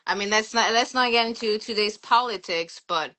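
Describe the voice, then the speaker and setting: A woman in her forties with a French accent, chatting casually as an interview guest, relaxed and full of fillers. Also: mid-range voice, normal speed. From France. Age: 40s. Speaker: guest, sneaker collector and teacher.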